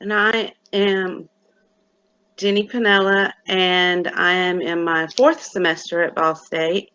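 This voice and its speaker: A young woman from Alabama, a student giving a class presentation with a halting delivery and many "um" pauses. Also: lowish voice, little pitch movement, sharp tone, muffled recording, a little hiss or hum. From Athens, Alabama, USA. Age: young adult.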